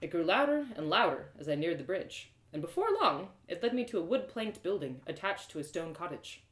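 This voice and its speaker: A woman reading a story aloud as an expressive first-person narrator. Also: on the low side, brisk. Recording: roomy, echoing live reading.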